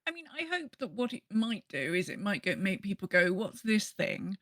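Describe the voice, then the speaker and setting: A woman's voice: medium pitch, very animated, moderate pace, fairly bright tone, no echo, studio quality. A woman chatting casually as co-host of a gaming podcast.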